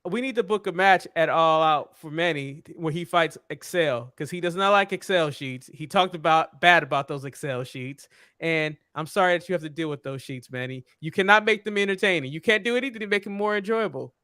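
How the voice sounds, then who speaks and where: A man's voice: a little high, brisk, pushed and bright, clean tone, no room sound, studio quality. A man co-hosting a podcast, teasing his co-hosts in casual banter. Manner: teasing, good-natured ribbing. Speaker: podcast co-host.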